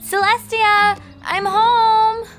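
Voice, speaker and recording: A woman voicing an excitable, studious young unicorn mare in a storybook reading, affectionate and easily flustered. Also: very high-pitched, extremely slow, faint music behind, voice pushed up, heavily acted. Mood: cheerful, calling out.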